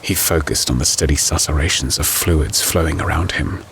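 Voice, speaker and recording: A man narrating a dark science fiction story in a scripted, dramatic, measured and ominous style. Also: rumbling low, gravelly, a little hiss or hum. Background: music.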